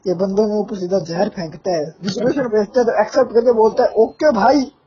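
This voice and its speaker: A man's voice: sits high, fairly even intonation, fairly quick, warm tone, a little hiss or hum. A young man on a casual phone call, ranting insistently with playful threats in a nagging manner. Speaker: caller, gaming fan. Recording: muffled lo-fi phone call recording.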